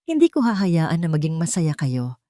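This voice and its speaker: A woman's voice: low-pitched, very animated, moderate pace, dark tone, no echo. Bitter, lovelorn Filipino rich son for melodramatic audio story, read by a woman, dry sound. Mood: bitter, vengeful. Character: bitter, heartbroken rich young man.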